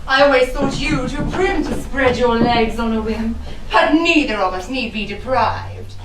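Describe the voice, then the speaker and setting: A woman's voice: on the high side, a little slow, music in the background, close to an everyday voice. An actress playing a brazen, scheming society woman with arch, teasing rhymed delivery in a comic costume drama. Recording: echoey stage, far from the mic.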